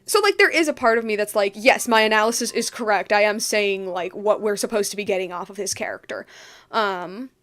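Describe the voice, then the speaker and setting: A woman's voice: medium pitch, brisk, a bit bright. A woman giving casual, unscripted game commentary as a streamer, rambling and emphatic, often repeating her phrases.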